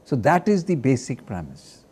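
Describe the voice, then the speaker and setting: A man speaks in a deliberate, academic way with a warm, mellow tone at a middle pitch. He builds his points carefully and evenly. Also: wildly swooping pitch, husky. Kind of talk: interview.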